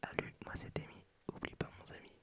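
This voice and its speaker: Guy softly doing a goofy little cat-dog game creature, talks in broken words, all whispered. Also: brisk, lightly acted. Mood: silly. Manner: broken childlike phrasing.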